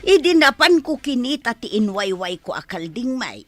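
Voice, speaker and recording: A woman's voice: fairly high pitch, very animated, normal speed, fairly bright tone, no room sound, faint music behind. An actress playing a nosy village woman in a radio comedy-drama, exclamatory and inquisitive. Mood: chatty.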